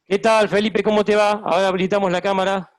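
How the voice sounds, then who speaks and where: A man's voice: high voice, flat delivery, fast, no room sound. A man with a Buenos Aires Argentine accent, hosting a medical webinar and introducing guests on a video call. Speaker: webinar host, cardiologist. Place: hospital intensive care unit.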